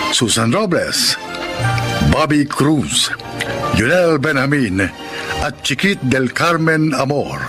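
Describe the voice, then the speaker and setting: Slow, solemn Filipino male radio narrator. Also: mid-range voice. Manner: solemn, measured announcer delivery. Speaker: radio drama host and narrator. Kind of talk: scripted narration.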